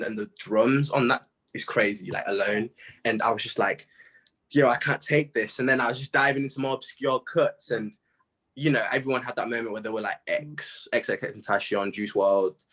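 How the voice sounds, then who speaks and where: A young man chatting casually in an interview, telling stories in an animated, slangy way with plenty of "bro" and "man". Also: on the low side. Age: young adult. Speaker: music artist being interviewed. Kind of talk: casual chat.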